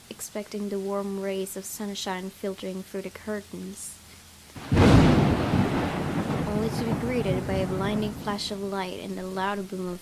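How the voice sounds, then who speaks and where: A woman hosting a gaming video, reading visual novel narration aloud in an expressive storytelling way. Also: medium pitch. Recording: clear background noise.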